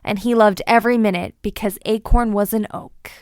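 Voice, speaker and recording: A woman's voice: medium pitch, steady tone, normal speed, smooth, dry recording, studio quality. A woman narrating a children's storybook expressively, with playful, dramatic emphasis. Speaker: children's book read-aloud presenter.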